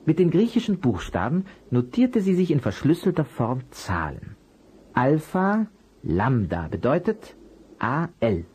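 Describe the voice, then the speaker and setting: A calm, clever detective from a children's radio play. His voice has a slightly raspy grain and moves with a lively melody. He explains patiently, step by step, in a friendly way to children. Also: fairly high pitch, unhurried, heavily acted. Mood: patient explaining.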